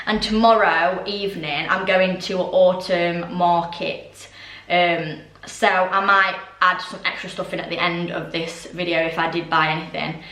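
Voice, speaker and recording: A young woman with a voice going hoarse, chatting casually through a home haul with lots of ums and asides. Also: fairly low pitch, fairly even intonation, unhurried, a bit bright, echoey room, recorded from a distance, low-quality recording. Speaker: vlogger. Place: orangery at home, indoors.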